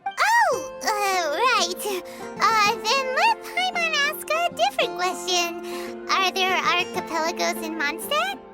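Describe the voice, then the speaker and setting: Over bouncy music, a tiny female fairy companion with a squeaky, extremely high voice. She is perky and cheeky, and her pitch hops around like a lively cartoon child's. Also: slow, full character voice. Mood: flustered. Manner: refers to herself in third person. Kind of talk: video game character acting. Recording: game music behind the voice.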